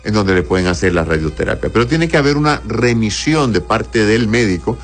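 Deep-voiced Honduran man giving medical advice. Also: animated, a little fast, faint music behind. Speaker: doctor answering audience health questions. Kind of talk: informal medical advice, reading questions aloud.

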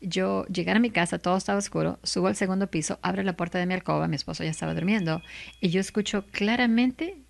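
A middle-aged woman, a lawyer and legal commentator, speaking as an interview guest in an animated, heartfelt way, laughing often and repeating words for emphasis. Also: on the low side, fast, creaky. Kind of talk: faith-based interview answer.

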